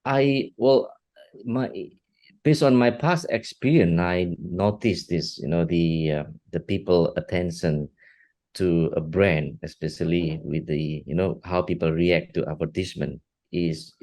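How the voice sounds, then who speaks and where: Low-pitched man, middle-aged, halting answers with many restarts. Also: darkish tone. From Singapore. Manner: halting, frequent restarts and repeated words. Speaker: guest, art technologist and educator. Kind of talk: interview answers.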